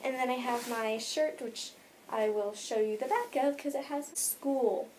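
A woman's voice: a little high, a little slow, a little mellow, slight background noise, low-quality recording. A teenage girl with an American accent chatting casually to a camera in a bedroom, rambling and saying "like" often. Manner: chatty, rambling, filler-heavy with frequent "like". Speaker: vlogger. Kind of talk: casual chat to camera, showing bag contents. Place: bedroom, indoors.

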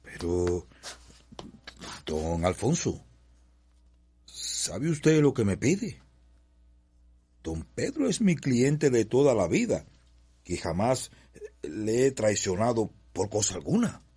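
Troubled loyal colonial businessman, cuban radio play, low husky voice, big swings. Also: very animated, slow pace, pauses often, mellow, heavily acted. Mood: agitated, indignant. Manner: agitated, protesting. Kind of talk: radio drama acting.

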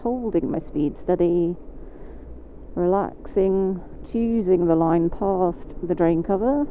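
A British woman gives calm, measured commentary while riding a motorcycle through the rain, her voice slightly low. It comes through a thin, phone-like helmet mic with road and engine noise underneath. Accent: British English. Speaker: motorcycle instructor. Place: on a motorcycle on wet roads in rain.

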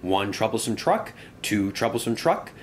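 A man's voice: lowish voice, sing-song, normal speed, slight background noise. A man voicing an earnest, wistful construction vehicle in a children's story. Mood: earnest, worried.

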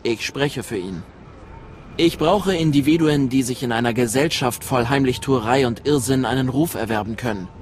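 A man playing a proud, grave Sith apprentice recruiter in a German game dub, formal and measured. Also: medium pitch, slight background noise, close to an everyday voice. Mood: proud, persuasive.

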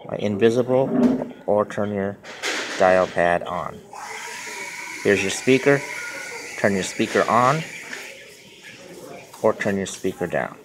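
A man explaining something step by step in plain, halting, repetitive short phrases, like a simple tutorial. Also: lowish voice. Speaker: tutorial presenter. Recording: noisy handheld phone recording with clicks.

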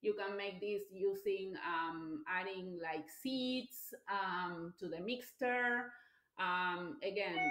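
Slow-talking woman in an echoey kitchen. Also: medium pitch, fairly bright tone. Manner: chatty and explanatory, with many fillers. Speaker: cooking instructor. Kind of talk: live cooking demonstration. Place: home kitchen, indoors. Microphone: device camera across the counter.

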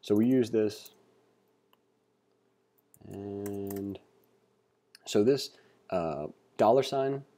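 Man, programming instructor, lowish pitch, dark mellow tone, informal read-along narration, thinking aloud. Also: drawn-out words, long pauses. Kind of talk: read-along tutorial narration with casual asides. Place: indoors, screen-recording voice-over.

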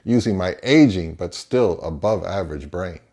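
A man's voice: low voice, animated, normal speed, warm tone. An American man in his fifties giving a semi-scripted review to camera, emphatic and opinionated, posing questions and answering them himself. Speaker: audio reviewer and host. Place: small indoor home office.

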